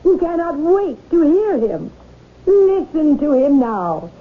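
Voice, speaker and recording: An actress playing an excitable, doting society wife in theatrical 1970s radio drama style. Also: female voice, high voice, takes it slowly, full character voice. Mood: grandly ceremonious. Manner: theatrical, exclamatory. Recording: noisy, tinny 1970s radio recording.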